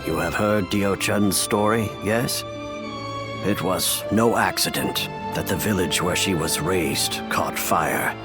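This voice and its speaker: A solemn imperial official in a video game cutscene. His voice is fairly low and unhurried, weighed down by remorse, and he speaks with formal care over clear background music. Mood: remorseful. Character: grave, remorseful imperial official and adoptive father.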